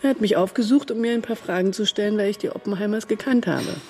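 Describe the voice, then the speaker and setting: A woman's voice: mid-range voice, fast talker, warm tone, husky. An actress playing a shrewd, worldly German woman agent in a radio crime drama, cool and matter-of-fact in manner. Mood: knowing, matter-of-fact.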